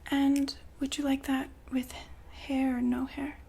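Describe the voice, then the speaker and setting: A woman whispering softly and politely in an ASMR customer-service roleplay, breathy and gently hesitant. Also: sits high, level tone, slight background noise. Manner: soft-spoken, polite, unhurried, gently hesitant. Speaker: ASMR roleplay performer as a shop consultant.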